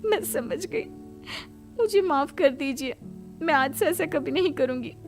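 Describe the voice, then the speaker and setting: Woman acting a high, pleading young daughter-in-law. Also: expressive, measured pace, faint music behind. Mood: tearful apology. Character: defensive, tearful young daughter-in-law and mother in family comedy.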